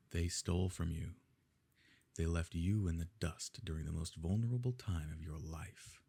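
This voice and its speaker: Low, gravelly evil nobleman, acted by a man. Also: exaggerated voice. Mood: quietly intense. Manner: measured, formal, quietly coercive. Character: smooth, possessive evil nobleman courting a grieving beloved. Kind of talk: ASMR romance roleplay, scripted dramatic monologue.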